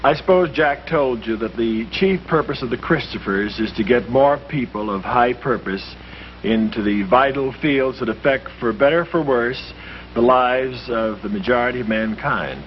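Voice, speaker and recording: A man's voice: mid-range voice, moderate pace, a little hiss or hum. A middle-aged American man, a priest, giving an earnest, rhetorical and persuasive address. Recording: muffled, humming old film soundtrack.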